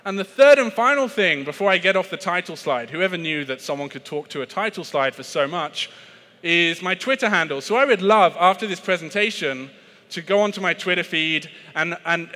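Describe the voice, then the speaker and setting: A British man delivers a conference keynote in a bright, forceful voice pitched a bit high. He is chatty and self-deprecating, stumbling into fillers and restarts. A long reverb trails his words around the large hall. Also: lively intonation, normal speed. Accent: British English. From Britain. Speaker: keynote speaker on sales.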